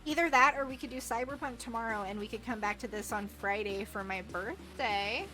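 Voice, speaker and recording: A woman's voice: fairly high pitch, fairly quick. Female game streamer, bubbly and rambling, casual live chat with music behind her. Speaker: livestreamer.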